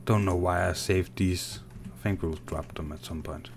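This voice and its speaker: A man from Denmark with a Danish accent, casually commenting on his gameplay while reading and answering viewer chat in a soft, unhurried way. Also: low voice, steady tone, a little hiss or hum. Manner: soft-spoken and unhurried, muttering while playing. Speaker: gaming streamer.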